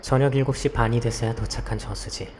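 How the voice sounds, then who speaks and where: A man acting a dry, grumbling young man in a visual novel, curt and deadpan, with rain and crickets behind him. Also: medium pitch, brisk, a little hiss or hum, close to an everyday voice. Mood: subdued, brooding. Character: dry, grumbling young man, reluctant occult investigation helper. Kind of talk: visual novel acting with first-person narration.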